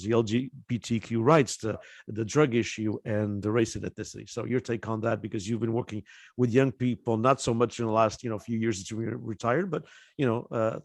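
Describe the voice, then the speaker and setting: A man from Portugal moderates a panel in an unscripted, thoughtful manner. His voice sits at a medium pitch with a dry sound. He is wordy and halting, often pausing to find the next phrase. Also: dry recording.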